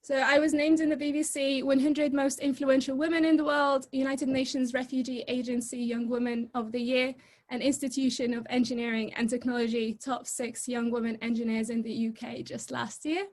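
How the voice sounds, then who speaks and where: A young woman from Egypt speaks over a video call in a bright, high voice. She talks to the audience in a lively, chatty and informal way, and laughs easily. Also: normal speed. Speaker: guest speaker. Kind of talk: presentation talk on a video call.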